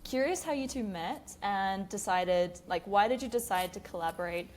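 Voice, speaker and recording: A woman's voice: mid-range voice, moderate pace, a little mellow. Giggly Australian-accented woman host. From New Zealand. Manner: giggly, chatty, lots of fillers. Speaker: podcast host. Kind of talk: casual interview.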